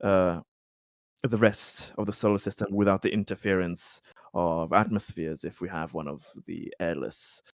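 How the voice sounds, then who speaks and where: A man playing a cautious, budget-minded colony council member in a tabletop game, speaking haltingly and deliberately. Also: deep voice, fairly even intonation, dry recording, clean studio sound. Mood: tentative. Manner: hesitant, halting, deliberative delivery. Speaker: player in a tabletop roleplaying game. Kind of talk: improvised in-character tabletop roleplay.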